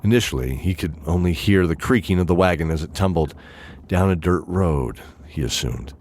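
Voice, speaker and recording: A man narrating a scripted fantasy drama in measured, dramatic phrases with deliberate pauses. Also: deep voice, expressive, darkish tone, slight background noise.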